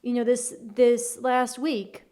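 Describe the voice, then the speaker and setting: A woman's voice: a little high, little pitch movement, slow, warm tone. A woman co-hosting a political show, speaking earnestly and emphatically, repeating phrases. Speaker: talk show co-host. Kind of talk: political commentary.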